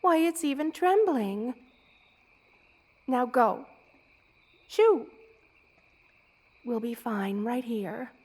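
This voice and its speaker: A woman playing a motherly, regal spider queen who is gentle and protective, in a tender ASMR roleplay. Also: a little high, expressive, extremely slow, pauses often, husky, exaggerated voice. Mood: commanding. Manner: tender, cooing, doting, with regal commands.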